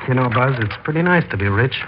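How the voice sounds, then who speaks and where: A man's voice: mid-range voice, animated, fast, lightly acted. Noisy old radio crime show, actor as bossy cocky gangster guy, slangy and menacing, voice moves up and down a lot. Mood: smug, bossy. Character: cocky, menacing young male kidnap gang leader. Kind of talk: old-time radio crime drama acting. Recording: noisy, muffled vintage radio recording.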